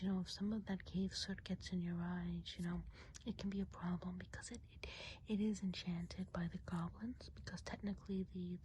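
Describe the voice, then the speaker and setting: A woman playing a kindly, chatty witch of the woods in a whispered ASMR role play, speaking gently and without hurry in a soft, breathy whisper. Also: lowish voice, slight background noise, lightly acted, poor audio. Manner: gentle, unhurried, chatty, hesitant asides.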